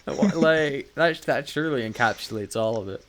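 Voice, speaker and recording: A man's voice: medium pitch, lively intonation, moderate pace, fairly bright tone. A man co-hosting a podcast in casual banter, with wry, jokey asides. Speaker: podcast co-host.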